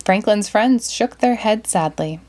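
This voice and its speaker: A woman narrating a children's picture book aloud in an expressive, deliberate way. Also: mid-range voice.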